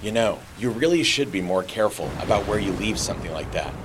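A man's voice: fairly low pitch, expressive, fast talker. A man playing an awkward, chatty stranger on the street in a naturalistic audio drama. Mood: nosy, casual. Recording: noisy rainy street scene with clicks.

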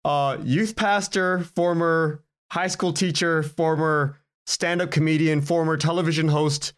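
Higher-pitched man telling stories. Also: fairly even intonation, unhurried. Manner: self-deprecating. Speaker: podcast guest, writer. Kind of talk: casual anecdotal chat.

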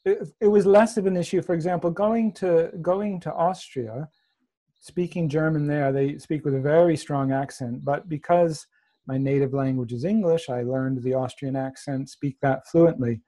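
American man with a mellow, higher voice. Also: normal speed. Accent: softened Boston. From Massachusetts, USA. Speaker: guest. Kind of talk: conversational interview.

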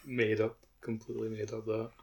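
A man's voice: lowish voice, completely flat delivery, brisk, vocal fry, slightly rough. A man co-hosting a podcast, chatting casually about a video game. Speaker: podcast co-host.

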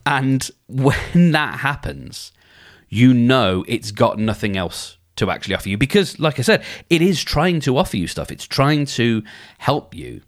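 A male podcaster talking through advice in a loose, conversational monologue. His pitch moves freely up and down, and he often restarts phrases as he thinks aloud. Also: animated, measured pace. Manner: conversational, thinks aloud, acts out inner dialogues; frequent restarts and filler phrases. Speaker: podcast host.

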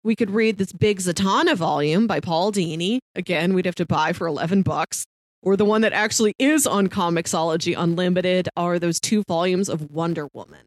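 A woman's voice: fairly low pitch, a little fast, no echo. A woman co-hosting a podcast, talking casually and reading blurbs aloud. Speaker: podcast co-host.

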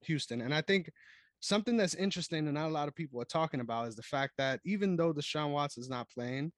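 A man co-hosting a sports podcast, giving measured, analytical takes in casual chat. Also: on the high side, animated, no room sound, clean studio sound. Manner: measured, analytical, frequent "I think". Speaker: podcast co-host.

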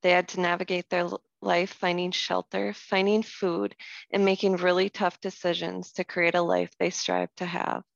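Gravelly-voiced female podcast co-host. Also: fairly low pitch, flat delivery, moderate pace, dry recording, lo-fi sound. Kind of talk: scripted reflection.

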